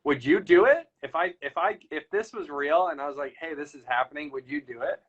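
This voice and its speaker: A man's voice: a little high, fairly quick, fairly bright tone. A man hosting a casual chat, talking loosely and rambling with lots of fillers. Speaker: podcast host. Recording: slightly dull lo-fi audio.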